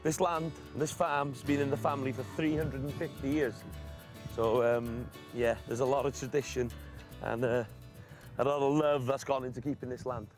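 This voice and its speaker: A man with a Welsh accent, a sheep farmer from North Wales whose first language is Welsh, talking in an earnest, unhurried way outdoors on a hill farm. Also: mid-range voice, fairly even intonation, a little mellow, faint music behind. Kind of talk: casual on-location interview.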